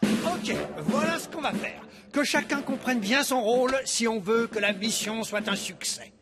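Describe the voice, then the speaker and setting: A man's voice: very high voice, normal speed, over loud music. An actor voicing a cocky, bossy male dog in a children's cartoon, brash and emphatic. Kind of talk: animated children's cartoon dubbing.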